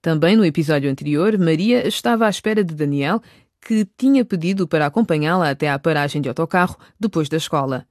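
A woman's voice: low voice, lively intonation, fast talker, a little mellow, no echo. A woman narrating a radio drama from a script, close on the microphone.

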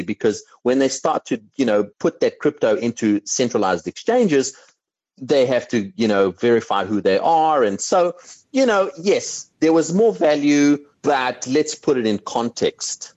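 South African male guest, animated, restarts phrases, dry sound. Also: medium pitch, moderate pace, no room sound, highs cut off. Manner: animated, repeats words when starting thoughts. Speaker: crypto commentator. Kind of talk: interview answers. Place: Copenhagen.

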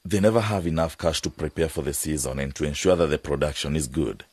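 Casting: earnest male peanut farmer, low husky voice, bargaining insistently in a radio play. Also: quick pace, darkish tone, no room sound. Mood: persuasive. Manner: insistent, persuasive. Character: earnest farm owner and peanut producer bargaining for help. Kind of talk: radio drama acting.

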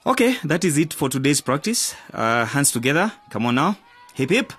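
A man's voice: fairly high pitch, big pitch swings, normal speed, a bit bright, slightly rough, exaggerated voice. A man playing a firm, encouraging youth football coach in a radio drama, commanding and instructive.

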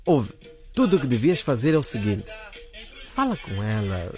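A man's voice: mid-range voice, sing-song, moderate pace, warm tone, soft background music, natural voice. A man playing a frank, nosy young friend in a radio drama, direct and probing. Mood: advising.

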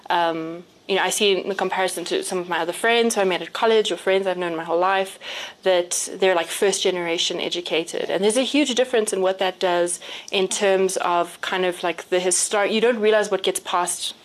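Female panel guest from South Africa, slightly low voice, thoughtful, rephrases as she goes. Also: fairly even intonation, fairly quick, hardly any pauses, rough recording. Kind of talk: reflective conversational answer.

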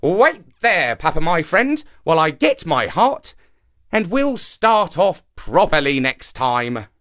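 A man voicing a clever, excitable monkey in an animal folktale, exclaiming theatrically with indignant, crafty airs. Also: high-pitched, unhurried, pitched above the performer's own voice, full character voice. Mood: hurried.

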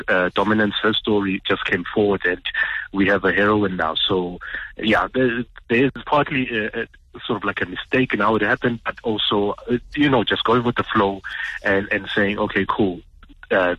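A South African man with a slightly low, husky voice gives a casual interview. He speaks haltingly and informally, with a forceful edge, over a dull radio call-in line. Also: no echo. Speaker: interview guest, animator or comic writer.

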